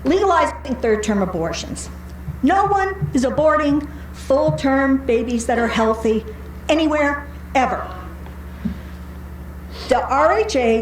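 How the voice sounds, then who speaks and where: An American woman with a high voice reads out a prepared public comment. Her delivery is argumentative and sardonic, pressing each point. The room around her is echoey, with faint noise behind the voice. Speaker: public commenter at a county board meeting. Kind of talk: prepared speech read aloud at a public meeting. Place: indoor county board meeting room.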